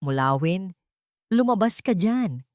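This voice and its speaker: A woman's voice: medium pitch, lively intonation, slow, a little mellow, no echo, clean studio sound. A woman voicing a ruthless, angry grown son who gives cold threats in an audio drama. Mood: angry threatening. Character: ruthless angry eldest son hunting his mother.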